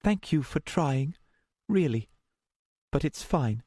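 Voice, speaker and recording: Man voicing a high-pitched, strained, defiant young woman. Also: lively intonation, normal speed, darkish tone, no echo, studio quality. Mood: resigned calm. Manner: strained, emotional, insistent. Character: frightened, defiant young woman under a curse.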